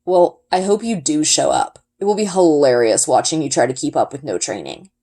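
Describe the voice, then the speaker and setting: Woman as a sneering boy, storybook rival, lowish voice, mocking, dismissive. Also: expressive, moderate pace, dark tone.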